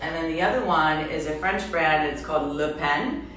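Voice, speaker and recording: A woman from Belgium in her forties chatting casually on a livestream as an artist, with a halting manner and trailing restarts. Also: low-pitched, a bit bright. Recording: echoey room, distant mic, music behind.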